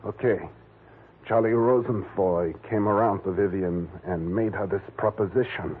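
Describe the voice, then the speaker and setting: Lowish-voiced, edgy cornered crook. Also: male voice, measured pace, husky. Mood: tense, explaining. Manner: tough, slangy, blunt. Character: cornered, edgy male crook and jealous husband. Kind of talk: 1940s radio crime drama acting. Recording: muffled, faintly noisy old radio recording.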